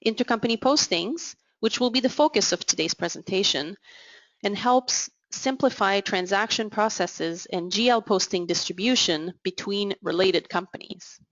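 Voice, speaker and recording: A woman giving a measured, explanatory software product demo as voice-over, slowing down on key terms. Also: medium pitch, dry recording, dull audio. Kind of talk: scripted product demo narration.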